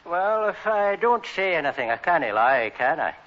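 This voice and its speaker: A dull old radio comedy recording with a canny Scottish vet. He is dry and blunt, with a sharp, fairly high voice. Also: sing-song, moderate pace. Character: blunt Scottish vet, fond of whiskey and fees. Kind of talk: British radio sitcom acting.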